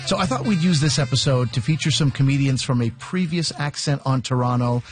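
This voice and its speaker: A man's voice: mid-range voice, fairly quick. Guy hosting comedy radio show, upbeat, music bed playing under him. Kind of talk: scripted radio show introduction.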